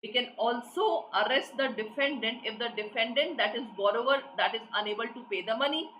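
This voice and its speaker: A woman's voice: fairly high pitch, brisk, fairly bright tone. A woman with an Indian English accent teaching a live online exam class, repeating questions and prompting students for answers. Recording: echoey room with faint noise.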